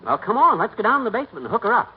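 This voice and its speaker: An actor playing a boastful, stubborn middle-aged American husband in a 1940s radio comedy, with a bombastic, folksy manner. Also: male voice, high voice, sing-song, rapid-fire, slightly rough, heavily acted. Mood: excited. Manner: bombastic, folksy, indignant bragging. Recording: dull, noisy 1940s radio recording.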